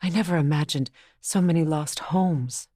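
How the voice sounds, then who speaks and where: A woman's voice: low-pitched, moderate pace, warm tone, no room sound, studio quality. An actress playing a proud, middle-aged Norse goddess warrior and grieving mother in a video game, with earnest, formal delivery. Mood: grave, measured. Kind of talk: dramatic game dialogue.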